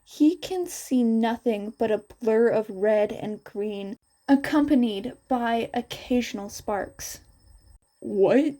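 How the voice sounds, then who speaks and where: A woman's voice: a little high, slow pace, dark tone. A woman narrating a scripted story aloud as a podfic reader.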